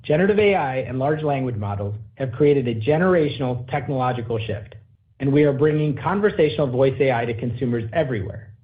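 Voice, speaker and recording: A man's voice: medium pitch, animated, brisk. A man speaking as a company executive on an earnings call, in businesslike corporate phrasing. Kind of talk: earnings call presentation and answers. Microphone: conference call line. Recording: narrow phone-like conference line.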